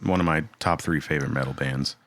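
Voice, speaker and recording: A man in his thirties co-hosting a podcast, talking in a relaxed, rambling way with lots of fillers and restarts. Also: a bass voice, fast. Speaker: podcast co-host. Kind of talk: casual chat.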